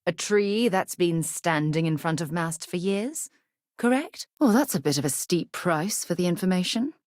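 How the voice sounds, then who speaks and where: An actress plays a woman space explorer, a video game companion with a low voice and a very dry, studio-clean sound. She is caring and principled, yet sharp-tongued. Her phrasing is formal, articulate and earnest. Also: lively intonation, moderate pace, no room sound, lightly acted. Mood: brisk, wry.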